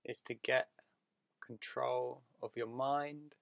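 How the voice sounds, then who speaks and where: A man leading a guided meditation in a soothing, unhurried manner, speaking in short phrases with long pauses. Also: mid-range voice, steady tone, vocal fry, fairly bright tone, dry recording.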